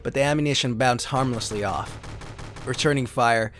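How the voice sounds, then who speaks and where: A man's voice: mid-range voice, normal speed, fairly bright tone, a little hiss or hum. A man reading a retro sci-fi story as a theatrical, solemn narrator. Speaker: host and reader.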